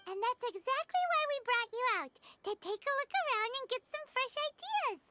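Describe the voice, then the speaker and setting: A woman voicing a tiny, bubbly female fairy companion in a video game cutscene, with a chirpy, excitable sing-song delivery. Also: extremely high pitch, moderate pace, noisy background. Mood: encouraging. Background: loud game music.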